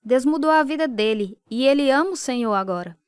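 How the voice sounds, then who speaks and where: A woman's voice: sits high, lively intonation, brisk, clear, resonant tone, no echo, clean studio sound. An actress with a Brazilian accent playing a devout, earnest young convert telling her story in a radio drama. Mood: earnest, firm. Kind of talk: radio drama narration and dialogue.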